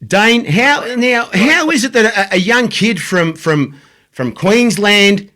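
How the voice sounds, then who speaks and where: Male talk host, high and bright, eager and chatty. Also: animated, slow pace. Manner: enthusiastic, repeats himself, says "mate" often. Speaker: show host. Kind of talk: casual interview chat.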